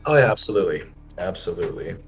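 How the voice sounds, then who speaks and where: A man who plays in a tabletop game, rambling through his thoughts with halting restarts and lots of "like". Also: low-pitched, very animated, soft background music. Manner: rambling, halting, many fillers. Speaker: player. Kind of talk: casual post-game chat.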